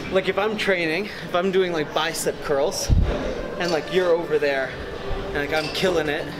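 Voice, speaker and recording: A man's voice: on the high side, fairly even intonation, normal speed, background noise. A man in his twenties chatting casually to camera as a vlog host, with a jokey, bragging manner. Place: large busy gym indoors. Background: loud music.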